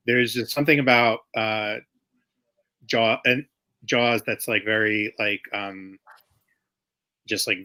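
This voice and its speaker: A man in casual conversation as an interviewed writer, thinking aloud haltingly and circling back over his phrases. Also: lowish voice, bright, forceful tone. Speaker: comic book writer being interviewed. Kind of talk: casual chat.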